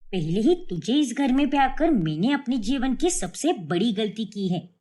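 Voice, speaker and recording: Casting: female performer as bossy, scolding middle-aged mother-in-law for a cartoon family tale, animated and sharp. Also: on the high side, normal speed. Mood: outraged. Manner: sharp, nagging, indignant. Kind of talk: cartoon family drama story.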